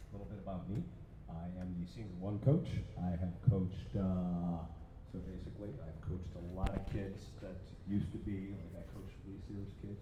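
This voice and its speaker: A man, a youth swim coach, introducing himself at a team meeting in a rambling, lightly joking way. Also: low voice. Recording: echoey room with crowd noise.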